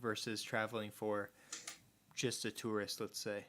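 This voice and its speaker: A man from the United States hosting a podcast, explaining things in a relaxed, rambling way. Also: fairly low pitch, unhurried. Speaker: podcast host. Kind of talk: casual chat.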